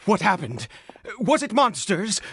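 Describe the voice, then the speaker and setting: An actor playing a weary, anxious middle-aged father in a video game, gentle and frail, speaking haltingly with stammers and sighs. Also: high voice, big pitch swings, slight background noise, full character voice. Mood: relieved, hesitant. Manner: halting, hesitant, stammering, sighing.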